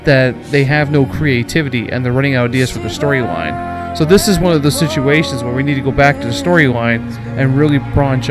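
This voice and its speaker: Man reviewing video games over music. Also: mid-range voice. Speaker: reviewer and podcast host. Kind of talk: video game review with scripted headings and loose commentary. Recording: music playing behind the voice.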